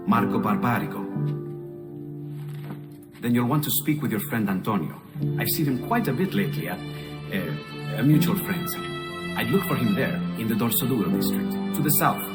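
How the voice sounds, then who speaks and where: An eager Renaissance inventor played by a man with an Italian accent, his pitch swinging freely. He is cheerful and curious, a game character heard in a long reverberant space with music behind him. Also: natural voice. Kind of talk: dramatic video game acting.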